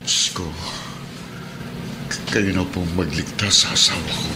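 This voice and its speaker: A man's voice: low-pitched, fairly even intonation, unhurried, loud music behind the voice. An actor playing a devout, troubled suspended policeman and devoted husband in a Filipino radio drama, earnest and respectful. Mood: anxious, grateful.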